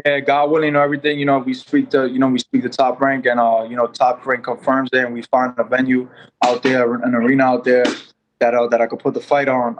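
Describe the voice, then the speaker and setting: A man's voice: medium pitch, dull audio, slight background noise. A man in his twenties from New York, a boxer guest talking in a casual, rambling way with many fillers and restarts. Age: 20s. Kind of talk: casual interview chat.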